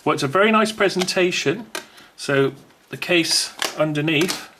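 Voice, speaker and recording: A man's voice: on the high side, normal speed. Man chatting through an unboxing, noisy recording. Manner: chatty and rambling, thinking aloud. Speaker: unboxing video presenter. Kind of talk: casual unscripted unboxing commentary.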